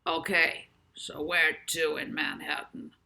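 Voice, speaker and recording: Woman as male city cab driver, storybook figure, husky, low, boastful, cautionary. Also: steady tone, slow, sharp tone, exaggerated voice. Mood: gruff, cautioning. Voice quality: husky, deeper.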